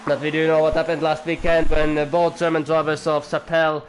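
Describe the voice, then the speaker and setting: A man's voice: fairly high pitch, monotone, fairly quick, a little hiss or hum, rough recording. A young man giving live sim-racing commentary as the league's owner, rambling and chatty with jokey asides to viewers.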